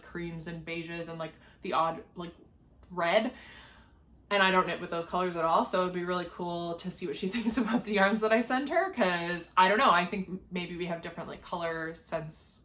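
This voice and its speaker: A young woman talks casually to camera about her knitting and travels, with plenty of fillers and "like"s. Her voice sits lowish and is slightly hoarse. The room around her is echoey. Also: fairly bright tone. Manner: chatty, many fillers and "like". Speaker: knitting podcast host.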